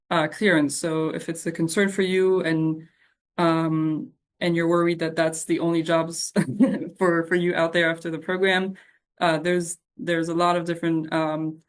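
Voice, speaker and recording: A woman on a program's staff answering audience questions in an informal, halting, reassuring way. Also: on the low side.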